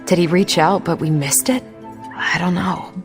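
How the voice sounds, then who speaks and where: A thoughtful game superheroine, played by a woman, with a slightly low voice. She speaks in a measured, sincere way, like someone weighing her doubts. Soft music plays behind her. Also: steady tone, natural voice. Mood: troubled. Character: thoughtful, troubled female superhero, grown and composed. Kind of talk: video game cutscene acting.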